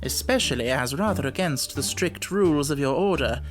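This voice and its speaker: A man voicing a brilliant gentleman detective in an audiobook story, self-assured, urbane and didactic, with wry amusement. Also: a little high, animated, normal speed, soft background music, close to an everyday voice. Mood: confident.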